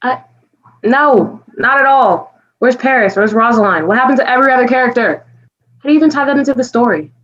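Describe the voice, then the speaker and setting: Woman as high-voiced, exasperated skeptical fellow William. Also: brisk, fairly bright tone, lo-fi sound. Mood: incredulous. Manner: blunt, incredulous. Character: exasperated, skeptical young man, bewildered listener William. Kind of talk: virtual staged reading of a stage comedy.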